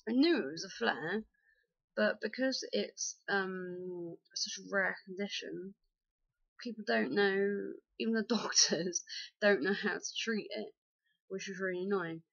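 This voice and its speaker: A man's voice: high-pitched, measured pace, vocal fry, fairly bright tone, no room sound. A young man, chatting to a webcam in a dim bedroom, rambling with many fillers and restarts. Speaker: vlogger. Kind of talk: casual personal chat to camera. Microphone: webcam at close range. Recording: dull lo-fi webcam audio, dry.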